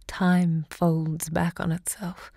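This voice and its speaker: Grand salon hostess, audio drama, slow deliberate declamation, lowish female voice, dry close recording. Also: little pitch movement, dark tone, no echo, heavily acted. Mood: reflective, grand. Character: Natalie Barney, grand, impassioned literary salon hostess looking back. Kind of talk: theatrical audio drama monologue.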